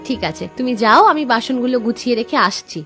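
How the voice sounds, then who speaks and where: A woman's voice: on the high side, fast, bright, forceful tone, soft background music. A woman voicing a hospitable, slightly anxious village housewife in a cartoon. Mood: concerned, gentle. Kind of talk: Bengali cartoon voice acting.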